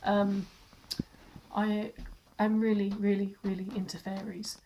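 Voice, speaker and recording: A woman's voice: mid-range voice, flat delivery, a little slow, very noisy. Chatty woman with a stuffy cold. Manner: rambling, chatty, often restarts words and laughs. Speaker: book reviewer. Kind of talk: casual chat to camera.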